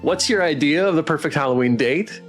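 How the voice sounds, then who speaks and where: A man's voice: on the high side, brisk. A man streaming a game, chatting casually as he reads game text and viewer comments aloud. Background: game music.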